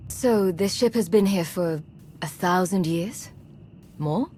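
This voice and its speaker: A woman playing a skeptical, practical agent commander in a sci-fi game, with dry, measured, questioning delivery. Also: fairly low pitch, warm tone, slight background noise.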